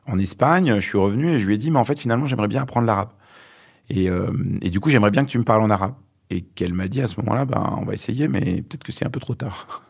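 A man in his forties with a very low, deep voice, speaking as a guest in an interview. He tells his story slowly and thoughtfully, pausing often and searching for his words. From Lebanon. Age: 40s. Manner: reflective, many hesitations. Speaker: author and journalist guest.

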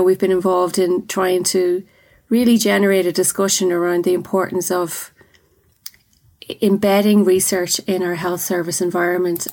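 A middle-aged woman, hosting an interview. She talks in a chatty, informal way, with a voice of medium pitch for her sex. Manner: chatty, informal, prone to laughing. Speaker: podcast host, CEO. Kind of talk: conversational interview.

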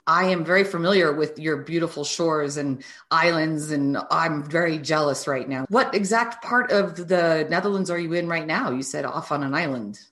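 A woman's voice: low-pitched, normal speed, hardly any pauses, fairly bright tone. A woman hosting an interview in an effusive, enthusiastic way. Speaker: interview host. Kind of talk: conversational interview.

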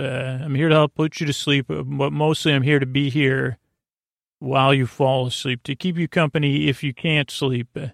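A man hosting a bedtime podcast in a creaky voice, meandering soothingly through halting false starts and tangents. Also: medium pitch, no echo, studio quality. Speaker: host of a bedtime sleep podcast. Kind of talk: rambling, soothing bedtime monologue.

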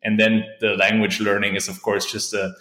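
A man's voice: on the low side, flat delivery, normal speed, sharp tone. A man who works as a performance coach, answering interview questions in an upbeat, chatty way. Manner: upbeat, chatty, frequent "right?" and "you know". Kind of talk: casual interview chat. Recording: roomy echo.

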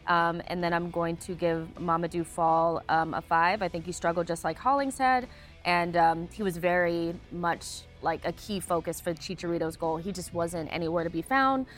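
Female sports analyst, slightly low voice, candid and conversational, faint music bed. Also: normal speed. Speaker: sports show panelist and analyst. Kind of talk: casual sports commentary.

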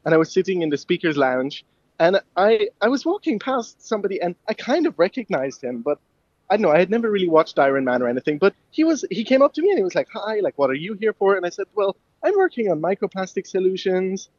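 A man's voice: high voice, sing-song, quick pace, darkish tone, dry recording. Eager young man on a dull line. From Ireland. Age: young adult. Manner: eager, friendly, laughs easily. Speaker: guest inventor. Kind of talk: radio interview.

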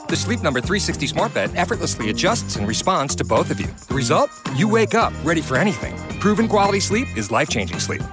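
A man reading a polished, upbeat radio ad. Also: a little high. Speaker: ad announcer.

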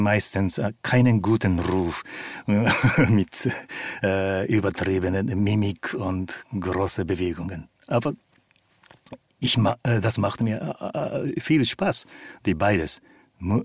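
Man, Japanese accent, low deep voice, calm and hesitant delivery, interview answers, very dry close sound. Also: no echo. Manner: calm, relaxed, hesitant in non-native German. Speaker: actor and opera singer, interview guest.

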